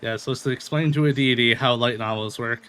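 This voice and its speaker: A man's voice: medium pitch, normal speed, a bit bright. A man streaming a video game, chatting casually in an informal, rambling way. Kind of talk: casual livestream chat over gameplay. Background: game music.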